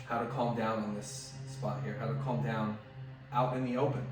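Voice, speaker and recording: A man's voice: fairly low pitch, flat delivery, normal speed, music in the background. A man working as a dog trainer, explaining techniques in a conversational, instructive way while he trains a dog at home. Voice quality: loud voice. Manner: instructive, conversational, drops to soft commands to the dog. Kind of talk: hands-on training demonstration. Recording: very echoey room, mic at a distance.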